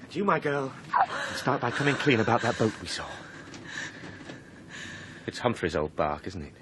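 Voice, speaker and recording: An actor playing a domineering, jealous husband in a radio drama, intense and volatile, swinging between tenderness and temper. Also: male voice, mid-range voice, sing-song, normal speed, lightly acted. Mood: impatient, accusing. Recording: radio drama with loud background noise.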